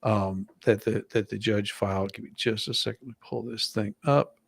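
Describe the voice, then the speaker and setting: A man's voice: fairly low pitch, fairly even intonation, normal speed, creaky, slightly rough, dry recording. A man hosting a stream in casual, jovial, chatty talk. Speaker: stream host. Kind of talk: casual chat.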